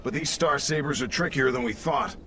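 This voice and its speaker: An actor playing an easygoing Jedi fighter pilot with clipped delivery in an audio drama. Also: male voice, a little high, brisk, noisy background, natural voice. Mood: steady.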